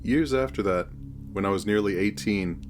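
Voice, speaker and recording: A man narrating a scripted first-person horror story in a measured, deliberate storytelling manner. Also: on the low side, slight background noise.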